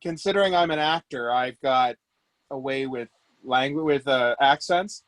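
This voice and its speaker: A man's voice: medium pitch, moderate pace, a bit bright, dry recording. A man playing a tabletop role-playing game, chatting casually and joking. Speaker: player.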